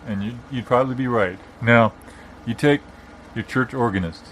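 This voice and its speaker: A man playing a stubborn, opinionated rambler in improvised comedy, arguing bossily in a halting, repetitive way. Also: mid-range voice, lightly acted, low-quality recording. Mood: earnest, rambling. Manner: bossy, long-winded, argumentative. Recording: background noise, informal non-studio room.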